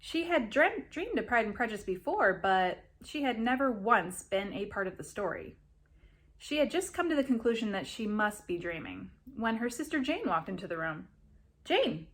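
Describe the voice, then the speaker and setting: A woman reading a story aloud as an expressive narrator. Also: medium pitch, moderate pace. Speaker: reader of a story.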